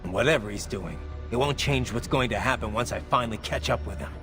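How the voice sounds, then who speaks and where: An actor playing a blunt, wry cyborg mercenary in a video game, speaking in a terse, dry manner. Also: male voice, medium pitch, fairly quick, loud music behind the voice, natural voice. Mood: wry, determined. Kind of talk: video game cutscene voice acting.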